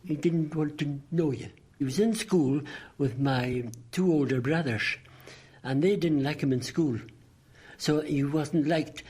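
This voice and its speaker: A man in his late eighties with a Scottish Highland accent, recalling the past in conversation and repeating phrases for emphasis. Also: a little slow, darkish tone, husky. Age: late 80s. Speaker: local resident interviewee. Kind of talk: recollection in conversation.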